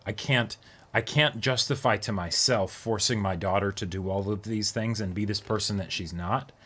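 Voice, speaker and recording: A man's voice: lowish voice, moderate pace, a bit bright. A man talking casually and unscripted as co-host of an anime reaction discussion. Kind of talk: casual chat, unscripted commentary.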